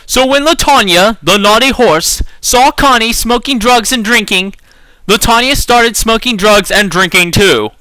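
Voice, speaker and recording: A man's voice: high voice, measured pace, pushed and bright, dry recording, rough recording. A man giving an exaggerated, theatrical dramatic reading of a children's storybook. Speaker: amateur reader.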